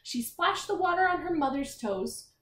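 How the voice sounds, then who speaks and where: A woman's voice: very high voice, expressive, normal speed, a bit bright. A woman teacher reading a children's picture book aloud, expressively, with encouraging asides and questions to young listeners. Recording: echoey room with faint noise.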